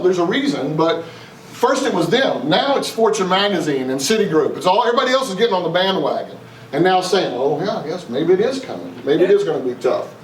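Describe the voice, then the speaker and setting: A man from East Texas with a Texan accent, giving a live investment seminar and throwing rhetorical questions at the room in a folksy way. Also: sits high. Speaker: investment speaker. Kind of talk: live seminar talk to an audience. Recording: roomy, distant, faint noise.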